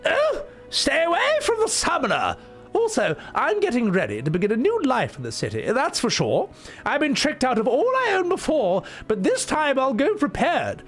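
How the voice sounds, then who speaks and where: A woman's voice: sits high, huge pitch swings, normal speed, slightly rough, voice pushed up, exaggerated voice. A man voicing a bawdy old woman in a game who is set on a new life in the city, in a cheeky put-on voice. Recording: faint game music behind.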